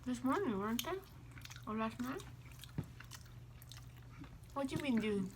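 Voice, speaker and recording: A woman's voice: fairly high pitch, expressive, a little slow, noisy background. A woman co-hosting a mukbang vlog, chatting informally and teasingly while she eats. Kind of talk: casual chat while eating.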